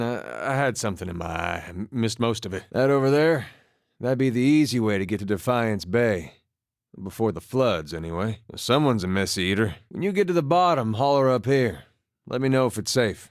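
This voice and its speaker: Easygoing country ex-soldier in a video game, lowish voice, dry deadpan drawl, really close dry sound. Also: male voice, dry recording. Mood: low-key, wry. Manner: dry, deadpan, understated humor. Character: laid-back, wry farmer and war veteran, folksy man. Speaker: video game companion character. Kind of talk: video game voice acting, story, banter and fight barks.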